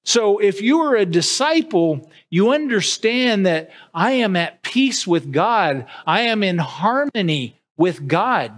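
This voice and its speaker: A man's voice: sits high, big pitch swings, takes it slowly, few pauses, fairly bright tone. A middle-aged man preaching a sermon from a church podium, earnest and gesturing widely, often restarting his sentences. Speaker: pastor. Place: church sanctuary with empty pews. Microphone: podium mic at a distance, far camera.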